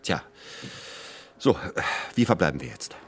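Husky, low-voiced impatient lawyer. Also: male voice, sing-song, unhurried, heavily acted. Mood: impatient, exasperated. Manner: insistent, exasperated. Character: impatient, demanding male lawyer commissioning research. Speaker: actor in a radio play. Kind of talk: naturalistic German radio drama dialogue.

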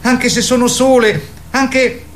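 A man playing a naive, earnest young boy who speaks in a halting, wondering, childlike way in a radio drama. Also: very high voice, fairly even intonation, fairly bright tone, slight background noise, exaggerated voice. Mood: dreamy, earnest. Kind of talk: theatrical radio drama acting.

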